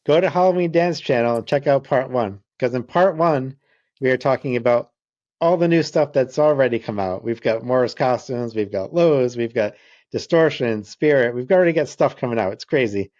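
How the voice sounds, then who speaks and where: A middle-aged man hosting a casual chat, upbeat and joking, talking in quick bursts. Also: mid-range voice, a little mellow, no room sound. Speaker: host. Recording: dry, muffled sound.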